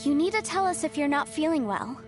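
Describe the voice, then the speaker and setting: High, lively, earnest young woman. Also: a little fast. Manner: sincere, courteous. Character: earnest, polite, bright young professional woman. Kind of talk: anime video game voice acting. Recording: music behind the voice.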